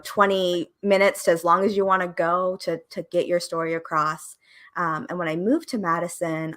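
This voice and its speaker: A woman in her twenties from Oregon, a podcast producer, talking in a relaxed, rambling way with fillers and small laughs. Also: low-pitched. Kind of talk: casual conversation interview.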